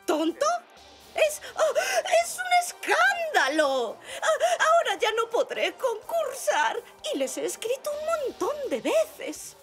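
A woman voicing an excitable, dramatic elderly zebra great-grandmother in a children's cartoon dub, exclaiming theatrically in Castilian Spanish. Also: very high voice, takes it slowly, soft background music, full character voice. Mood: indignant, dramatic.

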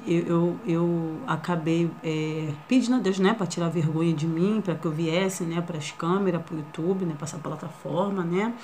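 A woman's voice: lowish voice, moderate pace, few pauses, a little mellow, a little hiss or hum. A woman in her thirties with a Rio de Janeiro accent, introducing herself in a hesitant, shy way, with many fillers and tag questions. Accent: Rio de Janeiro Portuguese. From Brazil. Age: 30s. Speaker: vlogger. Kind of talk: casual first-vlog introduction. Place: small living room indoors.

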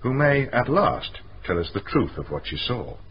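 An actor playing a shrewd, composed male inspector in a radio mystery, formal and measured as he explains. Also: mid-range voice, sing-song, lightly acted. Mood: composed, probing. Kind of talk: radio mystery drama acting. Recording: muffled radio recording, faint noise.

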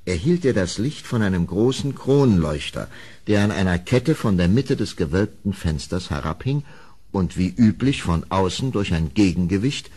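Male narrator, deep-leaning husky voice, animated range, dramatic scripted storytelling.

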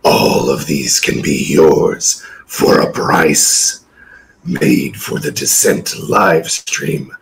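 A man voicing a sly, menacing demon peddling an infernal contract, with a gravelly, slow, ominous and falsely reassuring delivery in a theatrical reading. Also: rumbling low, background noise, full character voice. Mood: grandly menacing. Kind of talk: theatrical reading of a prize description.

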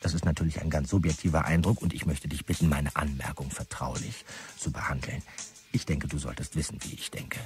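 A self-important marketing manager in an audio drama. His voice is very deep. He dictates in a formal, officious manner, with some music behind him. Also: brisk, a little mellow. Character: pedantic, self-important male marketing chief dictating mail.

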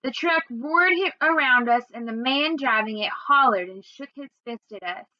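Young female storyteller, high register, expressive read-aloud delivery, close dry room sound. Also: moderate pace, dry recording, muffled recording. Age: young adult. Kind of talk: read-aloud of a children's novel. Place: small indoor room at home.

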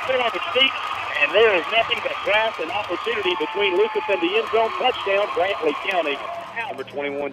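A man with an American accent calling live football play-by-play on the radio, with excitable, emphatic delivery. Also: high-pitched, heavy background noise. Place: outdoor high school football stadium at night.

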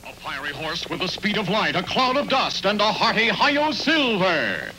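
A man's voice: high-pitched, sing-song, normal speed, sharp tone, heavily acted, poor audio. An American man playing a heroic announcer of a western radio serial in a grand, declamatory vintage style. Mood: rousing, heroic. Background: music.

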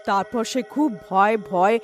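A woman's voice: medium pitch, a little slow, soft background music. A woman narrating a scripted cartoon story as its narrator.

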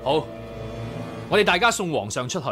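An actor playing an earnest, courteous young rebel chief, speaking formally and resolutely in a radio drama. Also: male voice, on the high side, very animated, moderate pace. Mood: solemn. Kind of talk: Cantonese radio drama acting. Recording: background music under the voice.

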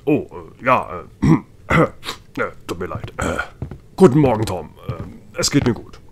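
A man's voice: fairly low pitch, big pitch swings, a little slow, creaky, slight background noise, heavily acted. A man playing a grumpy, flustered, indignant townsman in an audio drama, stammering at times. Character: grumpy, flustered male townsman obsessed with his garden gnomes.

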